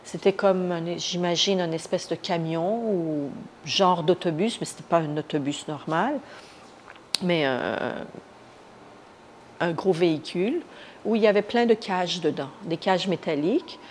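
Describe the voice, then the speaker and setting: A woman with a slightly low voice tells her own story in an interview. She speaks spontaneously and haltingly, feeling for the right word as she goes. There is faint noise behind her. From Iran. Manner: halting, searching for words. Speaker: interviewee, activist recounting her detention. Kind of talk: spontaneous first-person account in an interview. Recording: noticeable background noise.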